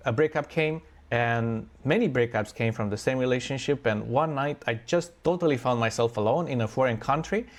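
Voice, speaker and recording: A man, telling his own life story in an earnest, unhurried, reflective way with thoughtful pauses. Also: mid-range voice. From Romania.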